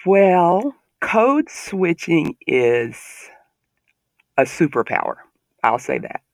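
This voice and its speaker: A man's voice: a little high, sing-song, takes it slowly, gravelly, dry recording, clean studio sound. A man with a Southern American accent, talking earnestly and with emphasis in a podcast discussion.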